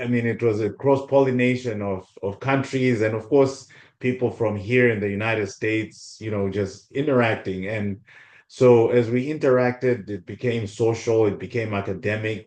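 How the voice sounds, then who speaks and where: A man's voice: mid-range voice, darkish tone, reverberant. A man in his forties from Zimbabwe giving an informal workshop talk over a video call, full of ums and restarts. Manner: hesitant, many ums and restarts. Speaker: guest speaker.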